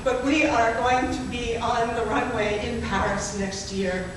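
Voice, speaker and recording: Woman doing an assured fashion designer, high-pitched, distant, noisy. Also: steady tone, unhurried, close to an everyday voice. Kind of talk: spoken-word poetry performance. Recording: echoey hall from far off, noisy.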